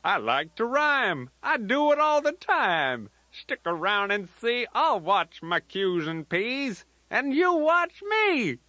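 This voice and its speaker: Lively, high-pitched old grandpa bear. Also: male voice, takes it slowly, hardly any pauses, dry recording, full character voice. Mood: playful, chatty. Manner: folksy, rambling, sing-song rhyming asides. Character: folksy, playful old grandfather teddy bear, fond of rambling. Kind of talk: scripted children's toy storytelling tape.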